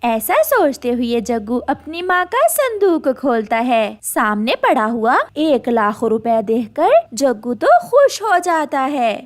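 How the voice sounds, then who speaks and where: Lady narrator for a kids cartoon story, high voice, reading from a script. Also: big pitch swings, slow pace, hardly any pauses.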